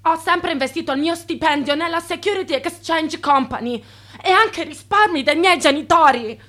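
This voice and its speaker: Radio play bit part: a naive cheated employee, extremely high voice. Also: female voice, normal speed, a bit bright. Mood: distressed. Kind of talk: theatrical radio drama acting.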